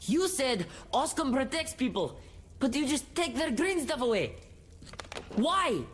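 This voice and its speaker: A woman's voice: high-pitched, big pitch swings, a little slow, slight background noise. A woman voicing an earnest, headstrong young boy in a video game, with a frank, childlike way of talking. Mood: indignant. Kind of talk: cinematic game dialogue acting.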